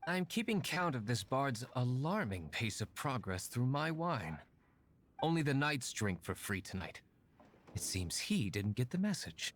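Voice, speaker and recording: Anime game wine baron: young, dry, formal, stern, medium pitch. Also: male voice, a little slow, a little hiss or hum. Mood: dry, disapproving. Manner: deadpan, formal. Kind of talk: anime game dub acting.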